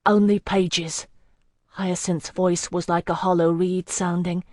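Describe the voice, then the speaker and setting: A woman's voice: lowish voice, flat delivery, slow pace, darkish tone, dry recording. A once carefree young prince, now desperate, as a woman reading the audiobook puts him on. His lines come raised, strained and urgent, lifted above the narration. The sound is close and dry. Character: young man, careless prince turned desperate.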